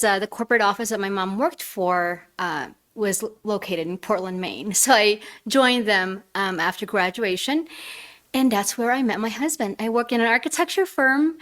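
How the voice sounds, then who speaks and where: A woman's voice: a little high, a bit bright. A middle-aged woman from the Philippines speaking as a guest, telling her story in an informal, conversational way. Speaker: guest speaker, arts nonprofit co-founder.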